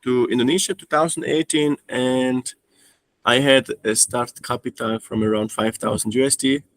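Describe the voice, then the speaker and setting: A man's voice: medium pitch, fairly even intonation, moderate pace, a bit bright, dry recording. Man in his thirties. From Austria. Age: 30s. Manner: hesitant, restarts often, non-native phrasing. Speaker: guest trading student. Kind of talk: casual interview.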